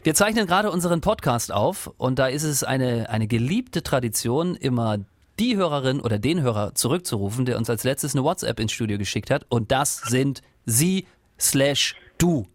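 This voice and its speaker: A man's voice: medium pitch, lively intonation, moderate pace, dry recording. A man from Rostock hosting a radio podcast, teasing his co-host and chatting with callers. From Rostock, East Germany. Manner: joking, teasing. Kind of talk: casual podcast chat. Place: studio. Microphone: studio mic.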